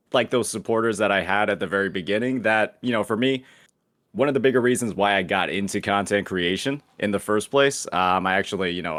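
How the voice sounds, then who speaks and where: A man's voice: fairly low pitch, a bit bright. A man in his late twenties, a guest creator on a casual chat, speaking hesitantly. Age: late 20s. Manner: hesitant, lots of fillers and restarts.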